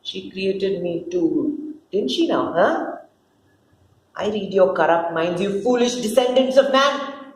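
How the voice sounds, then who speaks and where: A woman's voice: medium pitch, lively intonation, voice pushed down. A woman voicing a scheming, jealous serpent with a sneering, melodramatic manner in a dramatic stage play reading. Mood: haughty. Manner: sneering, melodramatic, repeats words, drawn-out phrases. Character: scheming, jealous, theatrical serpent, mocking fallen angel. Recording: roomy echo with clear background noise.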